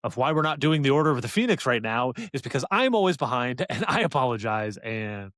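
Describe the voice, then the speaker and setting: A man's voice: medium pitch, very animated, brisk, fairly bright tone, no room sound. Joking man with dry, close sound. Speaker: podcast host. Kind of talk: casual podcast chat.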